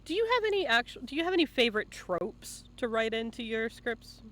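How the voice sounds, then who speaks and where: A man's voice: extremely high pitch, sing-song, fairly quick, a bit bright. A man hosting a casual live-stream interview, asking questions and reacting informally to the answers.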